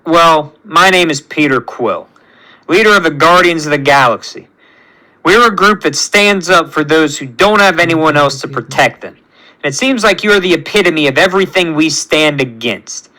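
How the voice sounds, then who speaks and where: A man playing a defiant space hero, bright voice. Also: a little high, animated, moderate pace, low-quality recording. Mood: defiant, resolute. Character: righteous, defiant male space hero and team leader.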